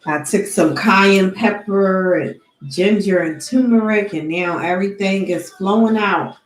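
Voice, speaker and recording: A woman giving a tarot reading who talks slowly and deliberately, sniffling from allergies, and calls on spirits in a measured, invocation-like way. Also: mid-range voice, roomy sound, slight background noise, low-quality recording. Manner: slow, soft and deliberate, with an invocation-like cadence. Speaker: tarot card reader.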